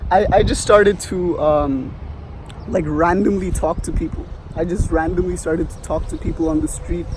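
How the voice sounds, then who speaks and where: A man's voice: high-pitched, fairly even intonation, unhurried, background noise. A young man in his twenties from India with a light Indian accent, giving rambling casual advice outdoors, full of fillers and restarts. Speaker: vlogger. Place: outdoors on a sunny street.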